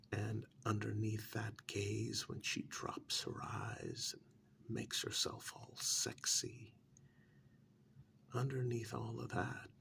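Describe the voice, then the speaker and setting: A man playing a menacing, soft-spoken ex-military club boss in an ASMR role-play, talking in a breathy half-whisper with deliberate pauses. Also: on the low side, exaggerated voice. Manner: slow, deliberate, quietly menacing with drawn-out pauses.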